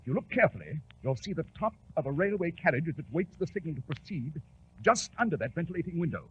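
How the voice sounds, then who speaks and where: Commanding detective on tinny old radio. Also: male voice, fairly high pitch, very animated, quick pace. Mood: confident, explaining. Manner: crisp, authoritative, theatrical. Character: brisk, commanding, self-assured male detective. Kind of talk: 1940s radio drama acting. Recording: tinny, noisy 1940s radio recording.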